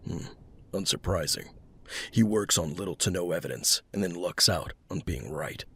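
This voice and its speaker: An actor playing a brooding, secretive masked vigilante detective in an audio drama, gravelly and terse. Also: male voice, deep voice, animated, moderate pace, fairly bright tone, exaggerated voice. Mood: stern, dismissive. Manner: terse, clipped.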